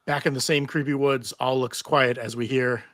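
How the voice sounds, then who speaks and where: Bright, forceful male script narrator. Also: mid-range voice, fairly even intonation, normal speed, dry recording, clean studio sound. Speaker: narrator reading stage directions. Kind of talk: scripted narration at a live table read.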